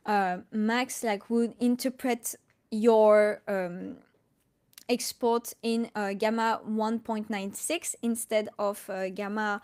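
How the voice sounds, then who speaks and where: A woman from France with a French accent, answering questions casually on a live stream and haltingly thinking aloud as she goes. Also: a little high, darkish tone. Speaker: colorist hosting a live Q&A.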